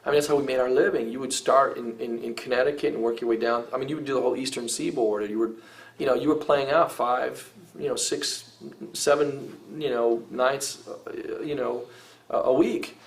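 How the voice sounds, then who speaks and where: Man, middle-aged, rock vocalist, casual interview, rambling, filler-heavy, medium pitch. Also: steady tone, normal speed, creaky. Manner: rambling, heavy use of "you know" and "I mean", frequent restarts. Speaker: rock vocalist giving advice.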